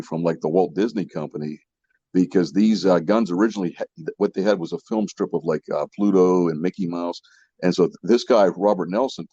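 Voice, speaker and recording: Deep, husky man, mellow and muffled. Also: dry recording. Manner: repeats himself, checks in with listeners. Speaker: podcast co-host. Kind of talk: casual explanatory chat. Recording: muffled lo-fi audio, dry.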